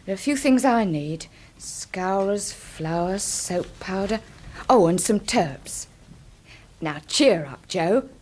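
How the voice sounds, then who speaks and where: An actress with an English accent playing a supportive but headstrong working-class wife and daughter in a radio drama, slightly husky. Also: female voice, mid-range voice, animated, slow pace, darkish tone, a little hiss or hum. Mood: coaxing, soothing. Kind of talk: BBC radio drama acting.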